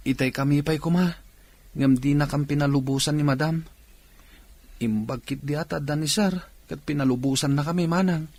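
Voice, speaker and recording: A curious young man in a radio comedy , acted by a man. He sounds respectful, with a voice on the high side. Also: moderate pace, darkish tone, dry recording. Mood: earnest. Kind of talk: comedic radio drama acting.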